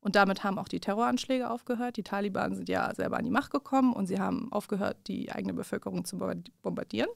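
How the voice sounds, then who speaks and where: A woman's voice: mid-range voice, fast, gravelly, few pauses, dry recording. A woman in her thirties from Afghanistan, a journalist guest speaking thoughtfully in a studio interview, with fillers and restarts, on a studio microphone. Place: small dark studio with curtains. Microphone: large studio mic on a stand.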